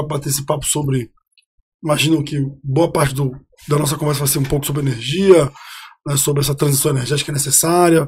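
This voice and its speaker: Husky, high-voiced man around forty. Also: darkish tone. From Rio de Janeiro, Brazil. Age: 40s. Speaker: guest, city councillor. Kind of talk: casual storytelling interview.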